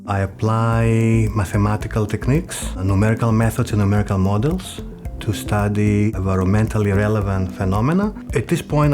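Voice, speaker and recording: A middle-aged man with a low voice and a Greek accent speaks as a professor explaining his work. Music plays clearly behind him. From Greece. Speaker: engineering professor. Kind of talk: explanatory interview talk about own research. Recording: music bed under the voice. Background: background music.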